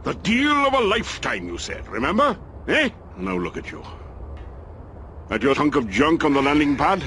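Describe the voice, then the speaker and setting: An actor playing a gruff, fatherly older trader in a game cutscene, teasing and scolding his former apprentice. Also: on the high side, wildly swooping pitch, normal speed, slight background noise, exaggerated voice. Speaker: mentor and trader. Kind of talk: video game cutscene acting. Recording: loud game music underneath.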